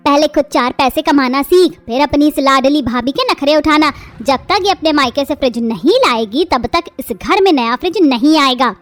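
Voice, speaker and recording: A woman voicing a harsh middle-aged mother-in-law in an animated family drama, hurling shrill insults. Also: moderate pace, few pauses, close to an everyday voice. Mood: furious. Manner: shrill, insulting, shouting tirades.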